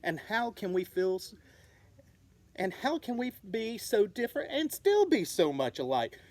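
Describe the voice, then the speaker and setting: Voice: medium pitch, big pitch swings, a little slow, a little mellow, no echo. A storyteller voicing startled, wondering young birds in a children's storybook. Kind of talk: children's storybook read-aloud.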